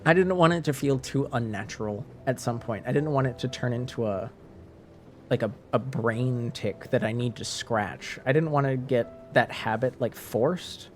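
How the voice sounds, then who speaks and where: A man's voice: medium pitch, normal speed, slight background noise. A man from French Canada with a light French Canadian accent, talking casually to his stream viewers, rambling with many restarts and fillers.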